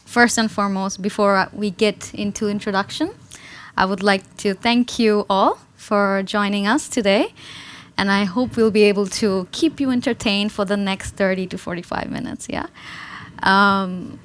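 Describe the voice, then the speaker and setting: A woman from Bangladesh, a data analyst opening a presentation in an engaging way and often adding "right?". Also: medium pitch. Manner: engaging, with frequent tag "right?". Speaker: data analyst and engineer, speaker. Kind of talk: presentation talk.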